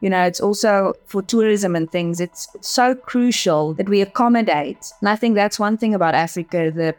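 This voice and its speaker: A woman's voice: mid-range voice, normal speed, a little mellow. A woman from Namibia with an Afrikaans accent, talking earnestly in an interview as an agency director, often repeating words as she thinks. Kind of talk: interview conversation.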